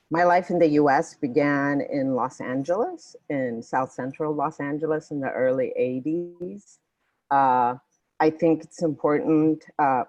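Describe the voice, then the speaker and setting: A woman in her forties from Ethiopia, an artist reflecting thoughtfully on her work in a panel conversation, pausing often as she searches for words. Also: extremely low pitch, darkish tone, dry recording, highs cut off. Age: 40s. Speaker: artist guest.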